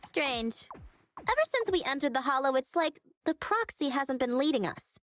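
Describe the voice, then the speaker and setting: A brash young female gang boss for an animated game cutscene. Her voice is extremely high and swings energetically, commanding and exasperated. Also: moderate pace. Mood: worried. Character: bossy, brash young woman gang leader.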